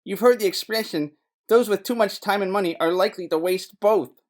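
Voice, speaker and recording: An actor voicing a flippant, wisecracking private detective's sidekick in a comic noir audio drama, joking in deadpan. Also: mid-range voice, fairly quick, lightly acted.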